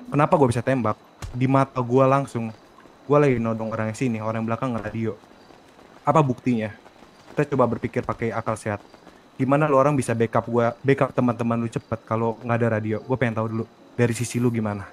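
A man's voice: medium pitch, steady tone, fast, slight background noise. A man arguing insistently in character during an online role-play game. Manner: argumentative, insistent. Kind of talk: in-character argument in a multiplayer game.

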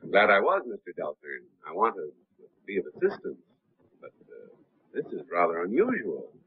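A man's voice: deep voice, wildly swooping pitch, moderate pace, breathy edge, exaggerated voice. An actor in his thirties playing a polite, formal man posing as a lawyer in a 1930s film. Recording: tinny 1930s film soundtrack.

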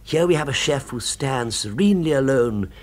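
An actor playing a fervent, food-obsessed gentleman in a 1970s British radio drama, with precise, florid, emphatic speech. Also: mid-range voice, lively intonation, moderate pace, natural voice. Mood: eager, assured.

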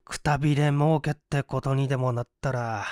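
A man's voice: mid-range voice, fairly even intonation, normal speed, a little mellow, no room sound, clean studio sound, natural voice. A man voicing a broke, hungry fellow in a storybook reading, coaxing and wry in half-polite talk. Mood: doubtful, grumbling.

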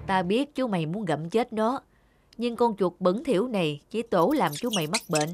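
A man's voice: sits high, lively intonation, normal speed. A man voicing a doting middle-aged cat owner in a cartoon fable, with an avuncular, folksy manner. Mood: scolding. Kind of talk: animated moral fable dubbing. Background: music.

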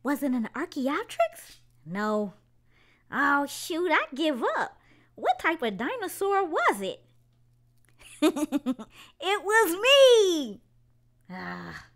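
A woman reading a children's picture book aloud, asking question after question slowly and expressively. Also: high-pitched. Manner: slow, expressive read-aloud with drawn-out questions. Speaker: children's storyteller.

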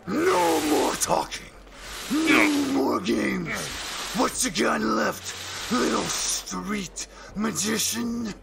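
Brutish gang tyrant, audio drama: blustering fury, heavy growl, drawn-out delivery. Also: male voice, high-pitched, expressive, gravelly, very noisy, exaggerated voice. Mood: enraged. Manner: shouting, snarling, blustering.

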